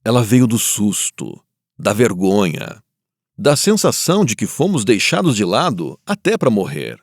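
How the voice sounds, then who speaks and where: Guy playing a grieving war survivor, solemn reflective storytelling, pitch moves a lot, really dry sound. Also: wildly swooping pitch, unhurried, dry recording, exaggerated voice. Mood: somber, grieving. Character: grieving Japanese survivor of the Nagasaki bomb, looking back. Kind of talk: scripted first-person dramatic narration.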